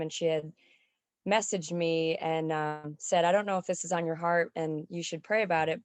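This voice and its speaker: A woman's voice: deep voice, clear, resonant tone, no room sound. A woman giving a personal spoken testimonial in a conversational way.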